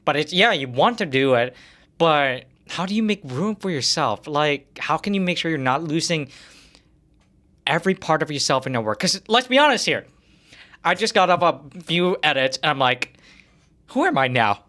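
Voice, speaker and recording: A man's voice: a little high, expressive, moderate pace, sharp tone. A man interviewing in an informal, conversational way, circling around and rephrasing his questions. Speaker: interviewer, podcast host.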